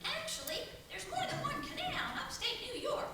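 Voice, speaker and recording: An earnest young farm animal, voiced by a woman in a radio play, extremely high and lively. It sounds distant in an echoey hall. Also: brisk, full character voice. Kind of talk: stage radio play acting. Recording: echoey hall from far off, noisy.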